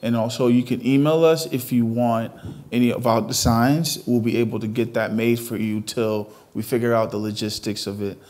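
A man's voice: mid-range voice, steady tone, normal speed, darkish tone. A man in his thirties from Ghana, answering interview questions in an earnest, matter-of-fact, conversational way. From Ghana, moved abroad at thirteen. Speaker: interview guest, fashion designer. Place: indoor television studio. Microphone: lapel mic.

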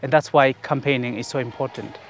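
A man, a church leader, interviewed outdoors in a garden, calm and reflective. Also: mid-range voice, a little fast, a little hiss or hum. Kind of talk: documentary interview.

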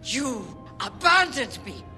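An actress playing a fierce, superstitious older housekeeper in a video game, dramatic and accusing. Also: female voice, high voice, sing-song, slow, sharp tone, heavily acted. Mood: fearful, furious. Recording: echoey hall with music behind.

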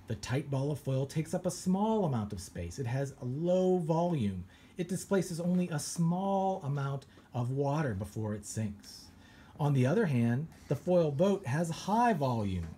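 Author guy reading a kids book out loud, playful pirate-y delivery, mellow voice. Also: on the high side, measured pace, a little hiss or hum. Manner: animated storytelling with pirate flair. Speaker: children's author reading aloud. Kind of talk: expressive read-aloud.

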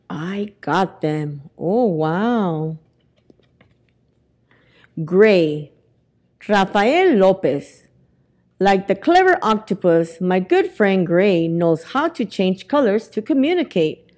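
A middle-aged woman reading a children's picture book aloud at storytime, expressive and deliberate, as if to young children. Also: medium pitch. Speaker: library storytime reader. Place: indoors in a library, among bookshelves. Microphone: lapel mic.

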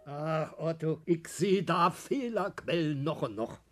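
An actor in a 1960s German radio play as a timid, sceptical accomplice, objecting in colloquial speech. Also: male voice, a little high, expressive, unhurried, loud music behind the voice. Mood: worried objecting.

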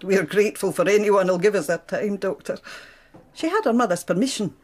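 An actress playing a guarded, proper clinic manager in a radio drama, formal and firm, with a British accent. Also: female voice, medium pitch, lively intonation, a little fast, close to an everyday voice.

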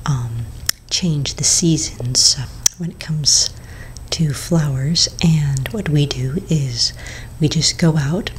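Low, gentle whispering woman shopkeeper. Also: a little hiss or hum, low-quality recording. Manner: whispering, slow and gentle, with fillers. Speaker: ASMR roleplay potion shopkeeper. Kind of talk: ASMR whispered roleplay. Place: small indoor room before shelves of bottles. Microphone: close mic.

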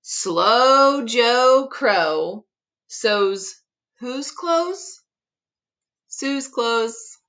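Woman, children's read-aloud, very slow careful articulation, lively swooping pitch, expressive. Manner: deliberate, carefully articulated tongue twisters. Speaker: teacher reading aloud. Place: living room at home.

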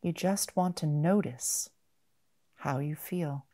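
Slow, low-pitched woman guiding meditation. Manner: gentle, measured, with long pauses between short phrases. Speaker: mindfulness meditation guide. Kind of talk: guided body-scan meditation.

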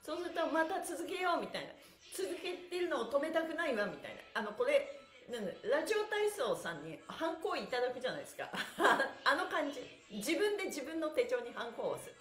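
High, animated middle-aged woman leading exercise. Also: brisk. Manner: animated, cheerful, very polite honorific wording, frequent chuckles. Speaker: stretching instructor and livestream host. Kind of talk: guided exercise instruction with counted chants and chatty asides. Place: small plain indoor room, sitting on a mat. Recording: roomy echo, faint music.

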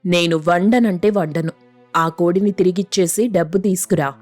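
A woman's voice: lowish voice, moderate pace, a little mellow, faint music behind, natural voice. A woman playing a thrifty, nagging village wife in a moral story, with colloquial rustic speech. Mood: scolding. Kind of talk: Telugu moral story voice acting.

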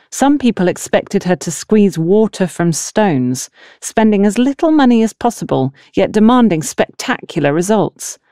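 Female audiobook narrator, lowish mellow voice, animated storytelling delivery, dry close sound. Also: no echo. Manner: expressive storytelling with acted dialogue. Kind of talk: scripted novel narration.

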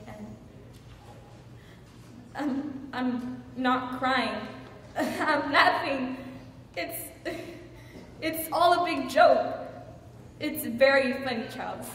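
Slow-paced woman acting a desperate, wry wife. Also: on the high side. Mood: hurt, confessing. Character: wry, desperate wife refusing to accept divorce. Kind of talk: dramatic audition monologue. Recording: echoing hall from far off, faint noise.

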